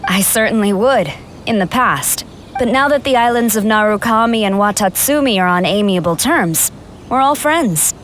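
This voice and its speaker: A woman's voice: mid-range voice, animated, normal speed, a little hiss or hum, natural voice. A woman playing a stern, dignified general in a video game, speaking formally and with reserve. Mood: candid, reserved.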